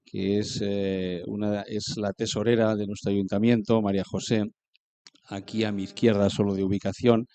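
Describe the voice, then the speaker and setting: A man moderating a panel, introducing the guests in a steady, matter-of-fact way and tagging his points with quick questions. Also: fairly low pitch, no echo.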